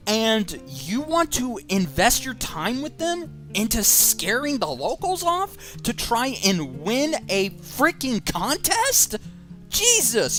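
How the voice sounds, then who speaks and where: A woman's voice: fairly high pitch, a bit bright. A woman giving a casual online film review, exasperated and sarcastic as she rants.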